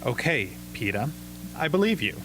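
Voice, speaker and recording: Man acting as smooth TV host, probing, sympathetic showman, mid-pitched, measured, radio play, music behind. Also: very animated, fairly bright tone, low-quality recording. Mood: placating. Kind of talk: amateur radio drama acting, talk show host.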